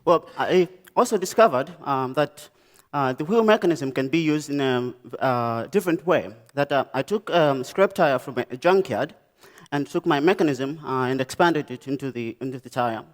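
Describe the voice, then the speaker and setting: A young man from Malawi with a Malawian accent, giving a conference talk about his design work, pausing often with "um" and "uh". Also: mid-range voice, expressive. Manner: halting, many "um" and "uh" pauses and restarts. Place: lecture stage.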